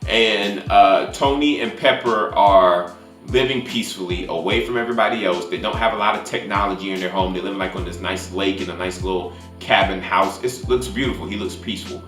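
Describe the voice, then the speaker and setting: A man's voice: low-pitched, a little fast, fairly bright tone. A man hosting a casual movie review, retelling things in an informal, conversational way. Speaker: film review channel host. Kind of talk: casual movie recap with spoilers. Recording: roomy echo, faint music behind.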